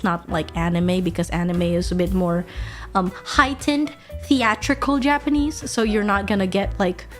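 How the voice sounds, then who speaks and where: A young woman chats loosely on a home stream, swearing freely and breaking into laughs as she answers her viewers. Her voice sits at an ordinary middle pitch, with soft background music playing faintly under her talk. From Indonesia. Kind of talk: casual chat stream.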